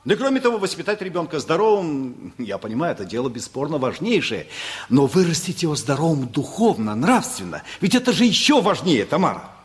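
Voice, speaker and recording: A man's voice: on the high side, very animated, a little fast. An actor playing a principled, blunt family man who reasons insistently in a stage-style radio play. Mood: earnest, forceful.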